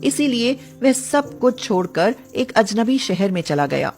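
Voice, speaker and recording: A woman's voice: a little high, very animated, a little slow, a little mellow, soft background music. A woman telling a scripted story as its narrator.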